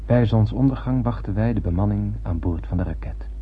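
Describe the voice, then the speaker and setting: An actor playing an earnest, reflective spacefarer who narrates a radio drama in a lyrical way. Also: male voice, fairly low pitch, brisk, natural voice. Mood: solemn, lyrical. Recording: tinny old radio recording, faint hum.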